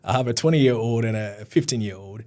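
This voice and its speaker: A man's voice: lowish voice, fairly quick, a little mellow. A man, a physician guest talking earnestly and often repeating himself in a casual interview. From Ghana.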